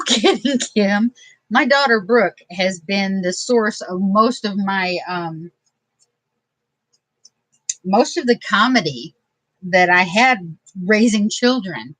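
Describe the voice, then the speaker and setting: A woman's voice: medium pitch, fairly bright tone, dry recording, rough recording. A middle-aged woman from Detroit, telling funny family stories in a chatty, laughing way during a craft video. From Detroit, USA. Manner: chatty, laughing, self-mocking humor. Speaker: craft vlogger. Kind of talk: casual storytelling while crafting.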